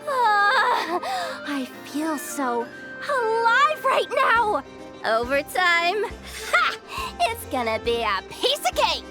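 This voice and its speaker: An excitable young working girl for a video game. She has a very high, swooping voice and gushes breezily with small stammers, though her words come out unhurried. Music is clearly audible behind her. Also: full character voice. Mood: exhilarated. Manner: gushing, breezy, slightly stammering.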